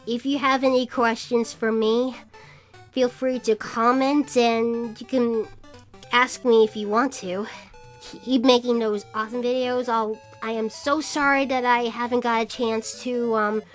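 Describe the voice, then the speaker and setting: A woman in her twenties chatting casually as a vlog host, rambling and hesitant as she talks. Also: fairly high pitch. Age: 20s. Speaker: vlogger and podcast host. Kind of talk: casual shoutout vlog chat.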